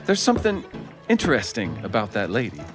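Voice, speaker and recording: A man's voice: on the low side, huge pitch swings, fairly quick, over music. A man playing a slick, charming Old West con man with theatrical salesman patter in an audio drama musical. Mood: intrigued.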